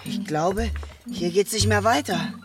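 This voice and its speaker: A man's voice: high voice, measured pace, over loud music. A curious young troll boy. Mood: eager. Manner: eager, questioning. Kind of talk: children's radio play.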